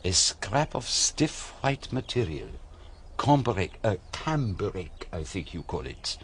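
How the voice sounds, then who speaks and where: An actor playing a courteous, methodical foreign detective in a radio drama, deliberate and hesitant as he probes with polite questions. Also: low-pitched, sing-song, a little hiss or hum, heavily acted. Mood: curious, musing.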